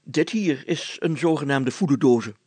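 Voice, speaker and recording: A man's voice: medium pitch, moderate pace, a little mellow, breathy edge, no room sound, natural voice. A man voicing a pompous, fussy, easily flustered mayor in a storybook, sputtering and tripping over self-important words. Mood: solemn, flustered. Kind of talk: storybook character acting.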